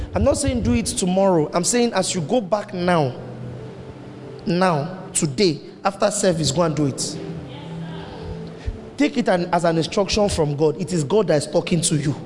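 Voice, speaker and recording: A man preaching a sermon as pastor, emphatic, his voice jumping up into high exclamations. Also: darkish tone. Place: church hall. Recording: long hall reverb, faint noise.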